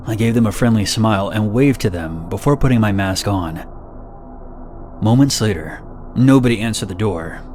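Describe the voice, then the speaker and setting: A man reading first-person horror stories in a steady, measured, somber narration. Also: lowish voice.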